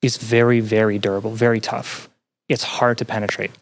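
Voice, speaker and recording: A young adult podcast guest in casual chat, explaining ideas earnestly and pausing to find words. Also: mid-range voice, little pitch movement. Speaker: podcast guest, student representative.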